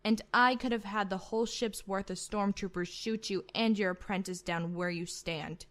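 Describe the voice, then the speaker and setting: A woman's voice: medium pitch, moderate pace, a bit bright, natural voice. A woman acting as a proud, commanding female admiral in a sci-fi roleplay, curt and sardonic. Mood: defiant, stern. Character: proud, sharp-tongued female starship admiral, commanding and unafraid.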